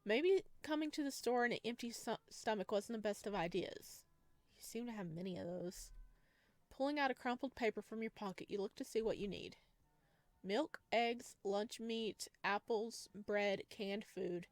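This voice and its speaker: A woman reading a visual novel game aloud in a casual style, breaking into playful, expressive reactions. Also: medium pitch, moderate pace, no room sound.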